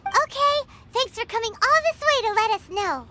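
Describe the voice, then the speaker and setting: Game fairy companion girl: tiny, squeaky, perky, very animated. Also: normal speed, background noise. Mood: grateful. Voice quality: squeaky, childlike. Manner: perky, exclamatory. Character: small chirpy floating fairy companion girl, excitable. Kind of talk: video game character acting. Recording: game music behind voice.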